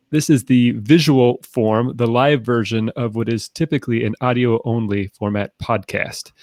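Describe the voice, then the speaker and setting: A man's voice: mid-range voice, dry recording. A man hosting a live podcast, speaking casually and introducing his guest. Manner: casual, with some fillers. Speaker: podcast host.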